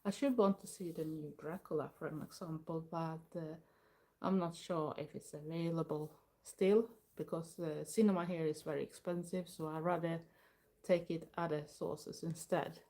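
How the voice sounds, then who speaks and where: A Swedish woman, a native Swedish speaker and older goth streamer, chatting casually in English with a Swedish accent, pausing often while she waits for her live chat. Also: low voice. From Sweden. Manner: relaxed, chatty, pauses often to read the chat.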